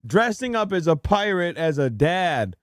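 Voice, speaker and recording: A man's voice: high voice, very animated, unhurried, a loud crowd. A man streaming a reaction video, chatting casually and crudely while joking and laughing along.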